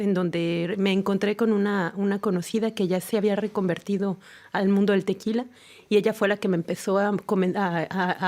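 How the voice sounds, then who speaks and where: A woman's voice: medium pitch, a little mellow. A woman from Mexico with a Mexican accent, answering interview questions in a studio. She is thoughtful and often hesitates while searching for words. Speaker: tequila ambassador, guest. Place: radio studio.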